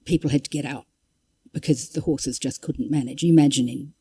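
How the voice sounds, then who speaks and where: A woman's voice: a bass voice, fairly even intonation, brisk, dark tone, breathy edge. A woman giving an informal history talk, conversational and wry, drifting into asides and reading out quotations. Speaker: public lecturer.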